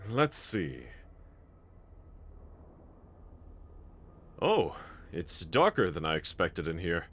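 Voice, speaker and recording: A man's voice: low voice, very animated, unhurried, a bit bright, a little hiss or hum, exaggerated voice. A man playing a bold, earnest, reassuring demon slayer swordsman in an anime audio roleplay, speaking with formal, courteous diction. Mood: intrigued, attentive.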